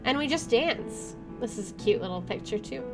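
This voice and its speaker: A woman's voice: mid-range voice, animated, normal speed, rough recording. A woman hosting a visual novel playthrough, reading the narration aloud and commenting in an informal, reactive way. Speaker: gaming video host. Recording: music behind the voice. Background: game music.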